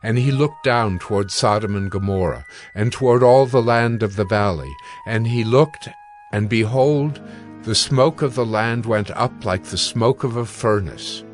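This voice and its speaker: A man narrating scripture from a script in a measured, solemn manner. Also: on the low side, faint music behind.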